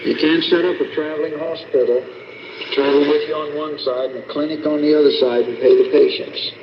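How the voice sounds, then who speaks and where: A man with a slightly high voice gives a sermon. He is heard through a shortwave radio, dull in sound and wrapped in clear static. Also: fairly even intonation, fairly quick. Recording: muffled, noisy shortwave radio broadcast.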